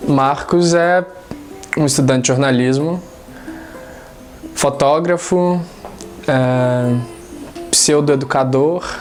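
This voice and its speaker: A man, a journalism student, speaking in a documentary interview in a relaxed, unhurried and self-deprecating way. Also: medium pitch, soft background music.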